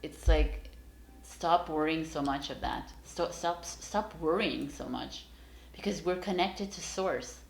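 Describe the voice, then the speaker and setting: A woman's voice: low-pitched, slow, creaky, reverberant, slight background noise, poor audio. A woman giving a casual, encouraging tarot reading straight to her viewers, rambling with many fillers and restarts. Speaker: tarot reader.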